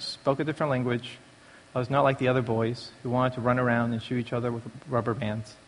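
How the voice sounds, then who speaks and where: A man gives a prepared personal testimony at a church recovery meeting, speaking in a large, echoing hall. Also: mid-range voice. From born in Taiwan, raised in the United States from age five. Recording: long reverberant hall echo.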